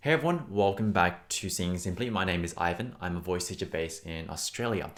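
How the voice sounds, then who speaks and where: A young man teaches singing in a casual, earnest way, speaking with a fairly low voice. He encourages the viewer and often repeats words to stress a point. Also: expressive, brisk, over music. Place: Australia.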